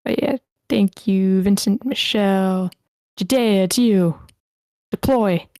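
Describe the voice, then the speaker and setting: A woman with a soft-edged, mellow voice moderates a group session in a casual, conversational way. Her sound is very dry, with no room around it. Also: medium pitch, takes it slowly, dry recording.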